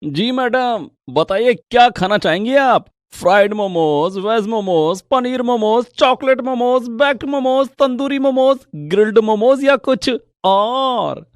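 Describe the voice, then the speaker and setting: A man's voice: extremely high pitch, expressive, unhurried, dry recording, clean studio sound. A man voicing a polite young food-stall server with a deferential, salesman-like manner in a storybook tale. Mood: eager to serve.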